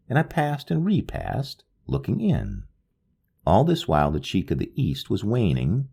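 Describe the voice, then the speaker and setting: A man reading a literary ghost story aloud in a slow, solemn, wistful manner, with a gravelly, husky voice. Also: sits low, a little mellow. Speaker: narrator reading a short story. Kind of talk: scripted literary narration.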